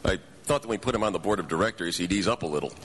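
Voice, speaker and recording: A man's voice: deep voice, very fast, fairly bright tone, breathy edge. An actor playing a kindly, tired older father in a live radio drama. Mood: gentle, weary. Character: weary, kindly older father running a small business. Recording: faintly noisy live radio stage broadcast.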